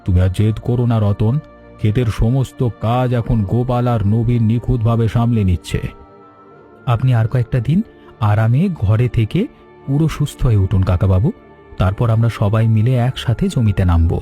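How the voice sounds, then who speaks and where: A man's voice: mid-range voice, fairly even intonation, normal speed, dark tone, natural voice. A man playing an earnest, dutiful and caring village farmer in a cartoon, speaking respectfully. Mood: concerned. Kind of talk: cartoon dialogue acting. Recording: faint music under voice. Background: faint music.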